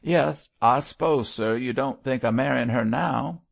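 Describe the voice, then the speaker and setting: A man's voice: medium pitch, unhurried, vocal fry, highs cut off, voice pushed up. A man voicing a coarse, emotional condemned criminal and father in an audiobook, with a growling, rustic working-class English accent. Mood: pleading. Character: coarse condemned male criminal, gruff, grateful, remorseful father.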